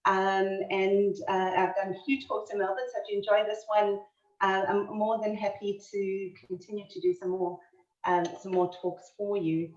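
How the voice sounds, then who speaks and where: A woman's voice: mid-range voice, little pitch movement, moderate pace, a little mellow, reverberant. A woman with a South African accent talks through a history lecture over a video call. She is chatty and keeps restarting, with plenty of fillers. Her voice comes through dull and slightly distant. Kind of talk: online history talk. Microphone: computer mic on a video call.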